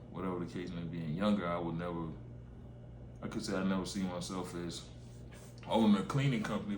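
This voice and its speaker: Fields: Sex: man. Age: 30s. Pitch: very low. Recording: echoey room, steady background noise. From Orlando, Florida. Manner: laid-back, repeats filler phrases. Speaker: vlogger, small business owner. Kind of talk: casual reflective monologue. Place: relaxed spot, drinking a beer.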